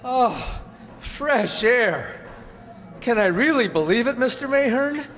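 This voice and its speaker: A man's voice: very high voice, lively intonation, slow pace, a bit bright, faint music behind, exaggerated voice. An actor playing a naive, carefree, newly acquitted man in a radio play, with breezy exclamations. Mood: relieved. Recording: reverberant hall.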